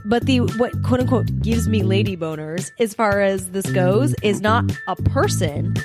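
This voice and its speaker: A woman's voice: medium pitch, unhurried. A woman podcast host speaking in a casual, chatty promo style. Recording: loud music behind the voice. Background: loud music.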